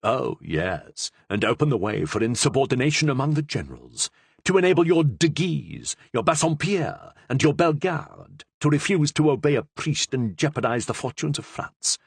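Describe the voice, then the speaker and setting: A man's voice: medium pitch, sing-song, normal speed, vocal fry, husky, no room sound, voice pushed up, exaggerated voice. A man playing a stern, commanding king. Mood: dismissive. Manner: curt, authoritative. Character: stern, proud young king, curt and commanding.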